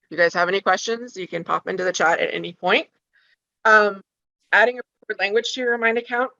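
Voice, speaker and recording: A woman's voice: mid-range voice, fairly even intonation, quick pace, creaky, bright, forceful tone, no echo. A woman teaching parents how to use school apps, talking casually with frequent ums and restarts. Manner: conversational, many ums and restarts. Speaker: school tech trainer for parents. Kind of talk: instructional walkthrough for parents. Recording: slightly dull lo-fi sound.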